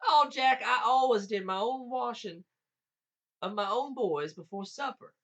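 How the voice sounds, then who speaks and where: A man's voice: extremely high pitch, lively intonation, a little slow, a bit bright, muffled recording, voice pushed up, exaggerated voice. A man voicing a coaxing, falsely motherly old giantess in a folktale, with an Appalachian accent and a wheedling manner. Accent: Appalachian American. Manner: wheedling, cajoling.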